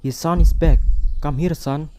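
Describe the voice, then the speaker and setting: A young man with an Indonesian accent playing an agitated villager who calls out loudly in an amateur radio drama. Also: on the high side, steady tone, normal speed, close to an everyday voice. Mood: agitated, urgent. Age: young adult. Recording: noisy, birds and insects behind. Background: chirping birds and insects.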